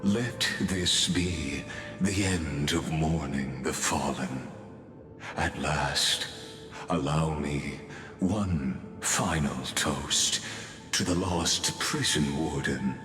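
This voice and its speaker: A man voicing a solemn, grandiose game character who speaks in a measured, ceremonial, theatrical way. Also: a bass voice, full character voice. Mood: ceremonial, elegiac. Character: solemn, grandiose man delivering an epic toast and narration. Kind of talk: theatrical scripted game voice acting. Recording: echoey long reverb, background music.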